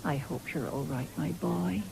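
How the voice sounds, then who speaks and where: A woman's voice: low voice, measured pace, creaky, darkish tone, exaggerated voice. An actress playing a stern, caring older farm mother and grandmother in a radio play, plain-spoken and reproachful. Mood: tender. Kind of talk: 1970s BBC radio play acting. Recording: noisy old radio broadcast recording.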